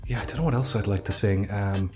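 A man with a deep voice co-hosting a casual podcast, reading listener emails with dry, deadpan jokes. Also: fast, faint music behind. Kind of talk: casual chat.

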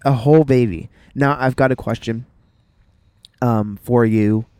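A young man co-hosting a podcast, chatting in a playful, animated way with frequent "um" restarts. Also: medium pitch, measured pace, clear, resonant tone, no room sound. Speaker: podcast co-host. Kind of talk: casual chat.

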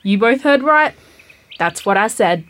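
A woman's voice: fairly high pitch, lively intonation, a little slow, fairly bright tone. A woman playing a plain-spoken, insistent schoolgirl granddaughter in a naturalistic audio drama. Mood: matter-of-fact. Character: stubborn, earnest Australian schoolgirl granddaughter.

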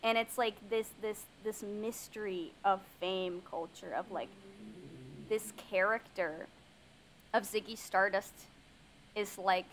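A woman's voice: mid-range voice, slow, a little hiss or hum, rough recording. Chatty woman podcast co-host. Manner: animated, lots of "like", acts out quotes. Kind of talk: casual chat.

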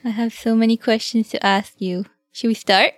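A woman joining a podcast as co-host, asking questions and giving soft, brief replies. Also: on the high side, fairly even intonation, normal speed, no echo.

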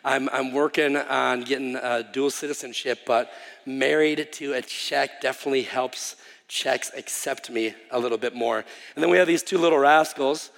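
A man in his thirties from Wisconsin speaks to a congregation as a visiting missionary, chatty and self-deprecating, often restarting with an um. His mid-pitched voice carries a gravelly edge. The sound rings with the long reverb of a church hall. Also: steady tone, moderate pace, a bit bright. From Wisconsin, USA. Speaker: missionary guest preacher. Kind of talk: casual sermon introduction. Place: church sanctuary.

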